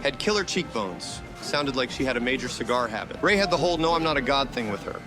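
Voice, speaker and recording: An actor playing a flippant, cocky ghost hunter in a motion comic, full of sarcastic wisecracks. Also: male voice, mid-range voice, expressive, fast talker, natural voice. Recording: loud music behind.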